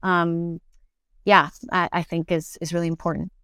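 Clear-voiced woman chatting in an interview. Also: on the low side, flat delivery, normal speed, a bit bright, no echo, studio quality. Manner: chatty, thinking aloud with frequent "like". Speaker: interview guest, actor. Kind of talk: casual conversational interview.

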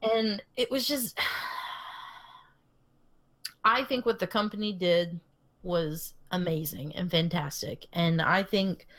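A woman's voice: fairly low pitch, takes it slowly, pauses often, fairly bright tone. A young woman co-hosting a podcast, casually telling a long story full of fillers and restarts, often laughing. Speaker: podcast co-host. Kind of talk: casual chat.

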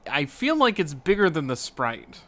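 Male podcast co-host, forceful bright voice a bit above average pitch, casual gaming talk. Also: very animated, moderate pace. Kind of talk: casual video game discussion.

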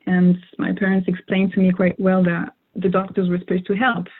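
A woman's voice: fairly low pitch, monotone, moderate pace, slightly rough. A young woman whose first language is French, answering interview questions thoughtfully with frequent fillers and restarts. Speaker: interview guest. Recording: phone-like narrow sound.

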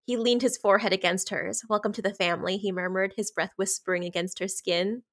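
A woman's voice: medium pitch, steady tone, a little fast, a bit bright. Sex: woman. Role: podcast co-host. Style: casual book chat. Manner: enthusiastic and gushing. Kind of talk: casual book-club chat, reading highlighted passages.

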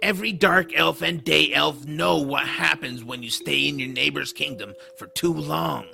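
Give this to a defiant troll, once a dark elf, now a bitter runaway slave. His voice is heavily gravelly with a sharp, forceful edge. He talks with sardonic indignation, as in a fantasy audio drama. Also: fairly high pitch, normal speed, a little hiss or hum, heavily acted. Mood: scornful, indignant. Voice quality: gravelly, rough. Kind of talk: audiodrama character acting.

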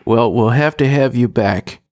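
A male host, relaxed and quick to laugh, chatting with his guest. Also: mid-range voice, dry recording, clean studio sound. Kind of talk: casual interview chat.

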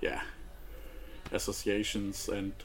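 A man's voice: on the low side, monotone, slow pace, vocal fry. A man guesting on a podcast as a conference organizer, easygoing and a little hesitant. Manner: easygoing, laughs often, hesitant. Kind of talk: casual interview.

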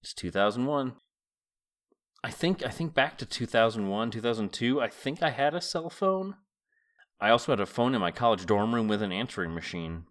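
A man's voice: medium pitch, sing-song, normal speed. A middle-aged man hosting a podcast, reading a book aloud and commenting on it in an informal, musing way. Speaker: podcast host. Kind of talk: book read-aloud and casual solo commentary.

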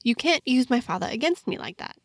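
A woman's voice: on the high side, expressive, fairly quick, dry recording, clean studio sound, voice pushed up. A woman voicing a guarded, easily annoyed young heroine who prods for answers in an audio story. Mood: indignant. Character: guarded, indignant woman, daughter of a powerful father.

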